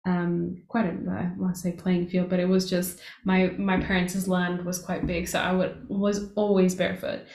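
A woman from Portugal hosting a casual podcast interview, affirming her guest and often pausing with "um". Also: lowish voice, monotone, darkish tone. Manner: affirming, lots of "um" fillers. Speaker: podcast host. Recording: echoey room.

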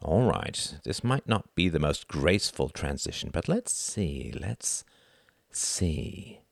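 A man playing a grumpy, self-absorbed husband in an audiobook drama, measured and defensive as he tries to placate. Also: a bass voice, sing-song, vocal fry, no echo, clean studio sound, exaggerated voice. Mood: coaxing.

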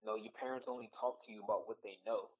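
A man's voice: lowish voice, steady tone, fast talker, slight background noise. Earnest man on a slightly muffled podcast. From United States. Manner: earnest and reflective, often checks in with "right?" and "you know". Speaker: podcast guest, Marine. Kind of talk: casual podcast conversation.

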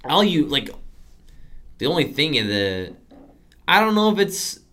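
A man's voice: fairly high pitch, very animated, unhurried, sharp tone. A man in his twenties from the United States, co-hosting a podcast in casual chat, rambling with many restarts and fillers. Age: 20s. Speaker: podcast co-host.

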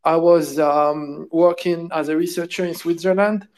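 A man from Morocco with a fairly high voice speaks as a guest in an interview. He rambles through his answers, full of fillers and restarts. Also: steady tone, unhurried, a little mellow. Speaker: guest, game studio co-founder. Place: at home, lives in France.

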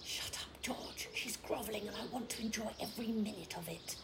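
An actress playing an indignant, fussy British village wife in a radio play, with a theatrically polite manner. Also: female voice, mid-range voice, brisk, creaky, fairly bright tone, whispered. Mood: smug relish. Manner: theatrical, exaggeratedly polite on the phone.

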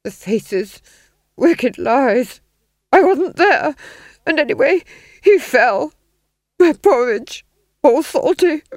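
A woman's voice: lowish voice, lively intonation, takes it slowly, fairly bright tone, clean tone, dry recording. A grandiose, unhinged nobleman villain from a storybook, performed by a woman. He gloats theatrically with a wide, swooping delivery and a twitchy edge. The sound is dry and close. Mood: frantic, haunted. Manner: grandiose, theatrical, archaic phrasing.

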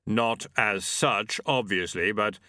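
A man voicing Death, a solemn and commanding horseman of the apocalypse, in a slow, weighty, formal manner for a storybook. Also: on the low side, pushed and bright, dry recording, studio quality. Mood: solemn, insistent.

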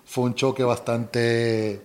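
A middle-aged man with a softened Colombian accent, co-hosting a casual chat with his wife and rambling a little as he talks. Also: medium pitch, slow. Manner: relaxed, rambling with restarts and fillers. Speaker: co-host.

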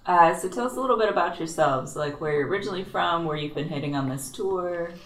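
A woman's voice: lowish voice, fast talker, roomy sound, a little hiss or hum, rough recording. A woman co-hosting a casual band interview, asking curious questions. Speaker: co-host.

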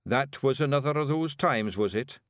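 A man's voice: medium pitch, expressive, normal speed, no echo, clean studio sound, pitched above the performer's own voice, lightly acted. A man voicing a tiny, dim-witted, sheepish male fairy-folk character with a Scottish accent in a storybook.

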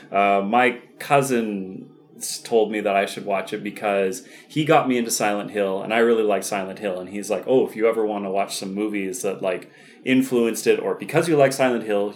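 Young man, low-pitched, rambling, self-correcting, casual film chat. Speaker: podcast co-host. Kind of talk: casual chat about films.

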